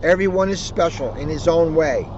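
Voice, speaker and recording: American guy cop doing storytime and community chat outside, voice on the high side, noisy n a bit muffled. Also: normal speed, a little mellow. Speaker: police officer reading to children. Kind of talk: storybook read-aloud and informal community talk. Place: outdoors by a street. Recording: noisy, slightly muffled outdoor recording.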